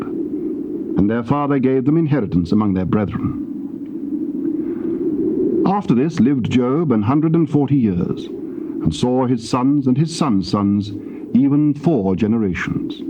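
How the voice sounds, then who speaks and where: A man narrating scripture in a measured, formal storytelling manner. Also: medium pitch. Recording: dull tape with background noise.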